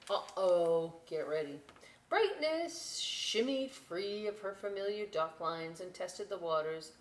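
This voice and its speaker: A woman reading a picture book aloud as a storytime host, enthusiastic and expressive, with dramatic emphasis and chatty asides. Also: lowish voice, slow pace. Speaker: library storytime reader. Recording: roomy echo, clear background noise.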